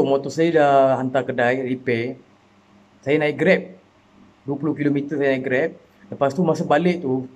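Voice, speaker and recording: A man's voice: on the high side, a little mellow. A man from Malaysia making an earnest, impassioned personal appeal, repeating himself and rising into emotional pleas. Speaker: citizen speaking out.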